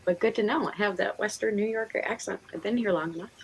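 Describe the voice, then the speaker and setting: A chatty woman with a Northeastern American accent hosts a live stream. Her voice sits at an average pitch. She speaks casually and conversationally, with a giggly streak. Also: fast talker, vocal fry, a little hiss or hum, poor audio. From Pennsylvania and New York, United States. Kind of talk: casual chat.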